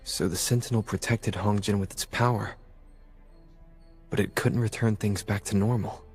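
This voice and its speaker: Low-voiced, confident game hero. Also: male voice, steady tone, normal speed, husky, faint music behind. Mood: thoughtful. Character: calm, confident young male adventurer hero. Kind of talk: scripted video game dialogue.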